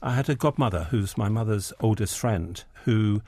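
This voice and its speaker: Man, English accent, 60s, low voice, dry studio sound, halting, restarts often. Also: a little mellow, no echo. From England. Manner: hesitant, many ums and restarts. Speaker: interview guest, poet and editor. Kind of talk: relaxed radio interview conversation.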